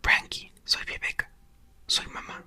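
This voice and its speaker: A man voicing a tearful, overjoyed mother in a soft storybook whisper. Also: measured pace. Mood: tender. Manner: whispered, only lightly voiced as a character.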